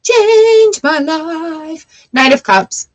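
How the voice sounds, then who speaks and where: A woman hosting a live tarot reading, reading card meanings aloud and reassuring her viewers in a gentle, encouraging way. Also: very high voice, expressive, very slow, muffled recording, a little hiss or hum. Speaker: tarot reader.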